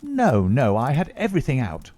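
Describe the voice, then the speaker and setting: A man voicing an excitable young male government secretary who talks in an agitated, exclamatory way. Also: mid-range voice, normal speed, no room sound, pitched above the performer's own voice. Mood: indignant.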